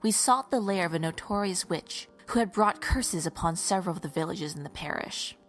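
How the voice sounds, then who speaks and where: A woman's voice: lowish voice, animated, brisk, soft background music, natural voice. A woman playing a stern, defiant holy warrior in a fantasy audio drama, speaking formally and resolutely. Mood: solemn, resolute.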